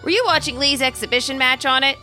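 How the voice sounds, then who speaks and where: A woman voicing an excitable, friendly, boastful teenage boy from a game, talking in an eager, chummy way. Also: high-pitched, quick pace, soft background music. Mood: eager, excited.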